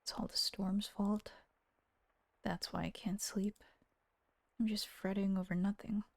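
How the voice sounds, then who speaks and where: A woman voicing a fretful young master of a gothic house in a visual novel, brooding to himself in a breathy half-whisper. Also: mid-range voice, little pitch movement, no room sound. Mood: uneasy, hushed. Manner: slow, brooding self-talk.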